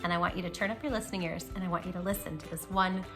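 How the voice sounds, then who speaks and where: A woman's voice: on the low side, fairly even intonation, rapid-fire, music in the background. A woman hosting a read-aloud for young children, speaking playfully and directly to the child listening, with emphatic storytelling delivery.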